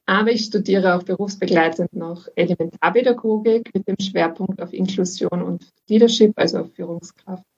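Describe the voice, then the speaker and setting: A woman in her twenties from Vienna, speaking, calmly explaining as a guest in an interview with many fillers. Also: mid-range voice, gravelly, breathy edge, highs cut off. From Austria. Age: 20s. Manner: calm, informative, with many fillers.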